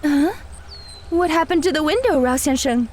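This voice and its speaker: A woman's voice: sits high, moderate pace, a little hiss or hum, lightly acted. A woman playing a polite, earnest and proud young female martial artist in an audio drama. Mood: concerned.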